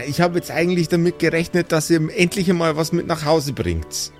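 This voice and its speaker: Ein Mann spielt eine ältere, schimpfende Zwergenmutter mit bairischem Dialekt, derb und sarkastisch, in einem improvisierten Rollenspiel-Hörspiel. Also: male voice, on the high side, fairly quick, soft background music, natural voice. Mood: scolding. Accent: Bavarian. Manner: nagging, earthy, sarcastic. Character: gruff, scolding old dwarf mother.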